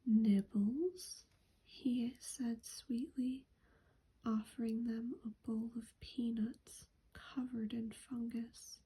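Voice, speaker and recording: A woman reading a story aloud in a soft whisper, as ASMR. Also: on the high side, flat delivery, slightly rough, rough recording. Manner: whispered, unhurried. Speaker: narrator.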